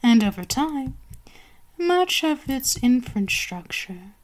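Woman acting a slow, haughty vampire queen. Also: sits high, sing-song, full character voice. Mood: proud, gloating. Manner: slow, commanding, savoring, gloating. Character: haughty, cruel, seductive female vampire queen. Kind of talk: ASMR roleplay.

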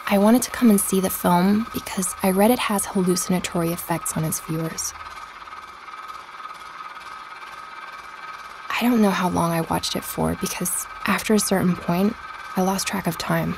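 Measured, curious woman investigator. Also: lowish voice, vocal fry, noisy background. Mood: reflective. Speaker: narrator and investigator in a podcast audio drama. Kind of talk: scripted documentary-style podcast narration.

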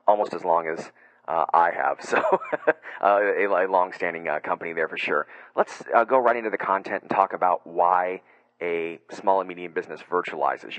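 A middle-aged man with a low voice hosts a technology webcast in an upbeat, chatty way. He frames long questions and scatters fillers as he goes. The sound is dry and close to the mic. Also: fairly bright tone, no echo, poor audio. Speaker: webcast host. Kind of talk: conversational tech webcast interview.

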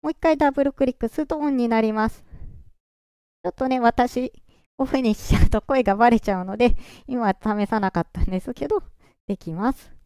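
A presenter walking through software setup step by step in a calm, explaining way, their voice run through a pitch-raising voice changer. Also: brisk, smooth, no echo, studio quality. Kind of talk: screen-recorded software walkthrough.

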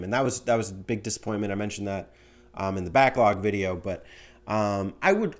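A young man hosting a show, answering viewer voicemail in a casual, rambling way with lots of fillers, recorded at home on a studio mic. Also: medium pitch. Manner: animated, rambling with fillers, gesturing. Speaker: host. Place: small home room with bookshelf and window. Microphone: studio mic on stand in front.

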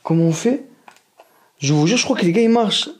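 A young man vlogging casually at home, excitable and chatty, talking straight to his viewers and laughing often. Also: high voice, fairly quick, slight background noise. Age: young adult. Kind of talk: casual vlog to camera. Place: indoor bedroom at home. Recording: roomy echo, voice from off-mic.

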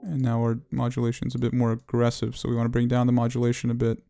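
A man giving an unscripted tutorial walkthrough, talking in a halting way as he thinks aloud. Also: mid-range voice, monotone, gravelly, mellow, soft background music. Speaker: audio plugin demonstrator.

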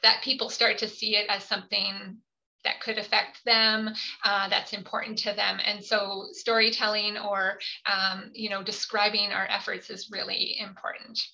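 A woman's voice: mid-range voice, little pitch movement, normal speed, gravelly, pushed and bright, muffled recording. A woman family physician giving a webinar talk on a video call, earnest and hesitant with frequent fillers. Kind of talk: webinar presentation.

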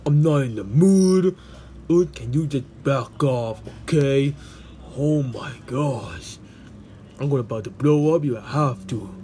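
Man playing baffled, grumpy bomb-headed creature for comic dub, casual halting delivery, very unhurried, faint background noise. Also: medium pitch, dark tone, lightly acted. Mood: irritated. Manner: halting, casual, tacks "man" onto sentences.

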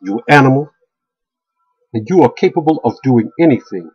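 A man's voice: medium pitch, lively intonation, normal speed, breathy edge. A middle-aged man in a heated webcam rant from a small room, stammering and repeating himself as he goes. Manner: heated, repetitive stammering restarts. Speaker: video blogger. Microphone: webcam at close range. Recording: dull lo-fi webcam audio.

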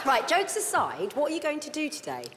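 A woman judging a talent show, bantering with a contestant in a lively, teasing way. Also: sits high, a little fast, long reverb tail, background noise. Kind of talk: playful panel banter. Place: large theatre.

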